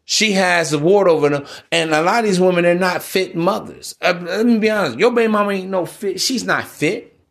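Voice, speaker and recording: American guy podcast host, kinda high voice, preachy street talk, keeps hammering points by repeating. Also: a little fast, vocal fry, fairly bright tone. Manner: emphatic repetition. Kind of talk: informal opinion monologue addressed to listeners.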